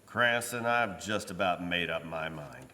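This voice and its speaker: Commissioner for radio play male actor: low, forceful, gruff, sarcastic, hard-nosed; live hall reverb. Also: animated, unhurried, heavily acted. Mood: stern. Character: sour, sleepless, hard-nosed police commissioner. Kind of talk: old-time radio drama acting. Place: live performance in a church.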